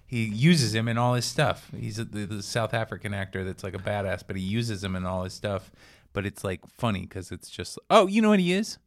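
A young man with a fairly low voice, hosting a podcast and rambling through stories in a loose, joking way. He often breaks off and restarts his sentences as he goes. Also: lively intonation, brisk. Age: young adult. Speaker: podcast co-host. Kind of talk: casual chat.

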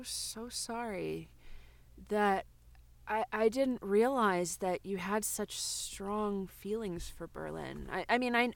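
An earnest woman writer co-hosts a mock book podcast. She is chatty and supportive, hungry to be noticed, in a natural improv comedy style. The sound is dry, with a medium-pitched voice. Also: gravelly, no echo. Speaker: podcast co-host.

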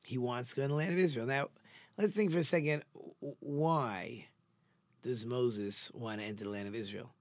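Male, mid-pitched. Torah teacher, informal and conversational, stresses points by repeating them. Very dry recording. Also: moderate pace, no room sound. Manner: conversational, rhetorical questions, emphatic repetition. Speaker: religious teacher giving a Torah class. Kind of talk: informal sermon lecture.

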